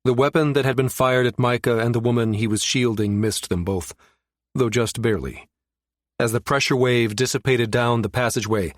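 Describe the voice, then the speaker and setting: A man's voice: medium pitch, dry recording, studio quality. A man reading a science fiction audiobook as scripted narration.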